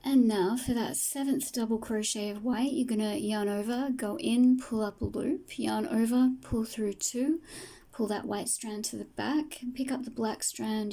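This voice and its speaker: A woman teaching crochet step by step, patient and soft-spoken, her voice tired and fading from a long day of talking. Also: fairly high pitch, steady tone, unhurried, vocal fry. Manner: soft, patient, talks through each stitch. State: losing her voice. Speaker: crochet tutor. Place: home table, indoors.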